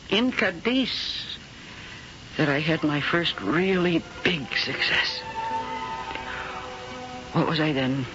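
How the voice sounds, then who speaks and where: Low-voiced, world-weary film star. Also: female voice, a bit bright, slight background noise. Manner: halting, dramatic, wistful. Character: world-weary, anxious female film star and nightclub singer. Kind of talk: 1970s radio drama acting. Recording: dull vintage radio broadcast with music.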